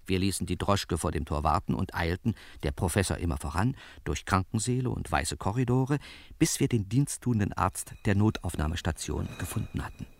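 An actor playing a detective's wry assistant, telling the case conversationally as narrator of a radio crime play. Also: male voice, lowish voice, creaky, dry recording. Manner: conversational, storytelling.

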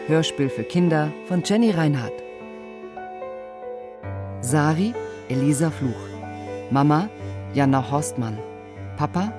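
A man reading out scripted credits as the announcer of a children's radio play. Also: fairly high pitch.

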